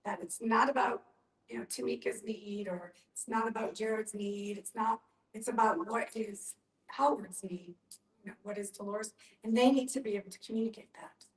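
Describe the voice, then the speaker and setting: A woman's voice: fairly high pitch, steady tone, normal speed. A woman speaking as a panelist in an earnest group discussion, explaining her points with emphasis. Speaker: panelist at a discussion on end-of-life planning. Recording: roomy echo, distant from the mic.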